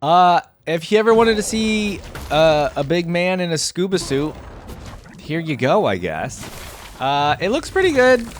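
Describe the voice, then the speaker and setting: A man's voice: fairly high pitch, very animated, measured pace, a little hiss or hum. A man giving casual reaction commentary in a conversational way, thinking aloud as he goes. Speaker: gaming video creator, reactor. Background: music.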